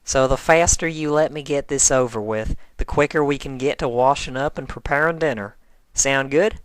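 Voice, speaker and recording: Casting: man as fond, hard-working farm pony mare, folksy drawl, grumbling and teasing, storybook cartoon style, pitched up. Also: moderate pace, clean tone, no room sound, close to an everyday voice. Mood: businesslike. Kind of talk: fan fiction character dialogue.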